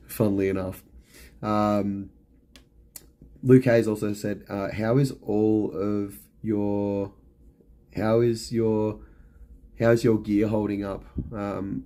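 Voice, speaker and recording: A middle-aged man with a hoarse, fading voice, chatting casually on a live stream and answering viewers' questions with lots of ums and you-knows. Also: lowish voice, warm tone. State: hoarse, losing his voice.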